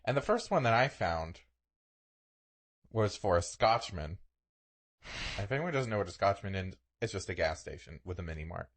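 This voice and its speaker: A man's voice: deep voice, lively intonation, normal speed, long pauses. A man co-hosting a podcast in casual, comedic chat, thinking aloud a bit hesitantly as he goes. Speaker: podcast co-host.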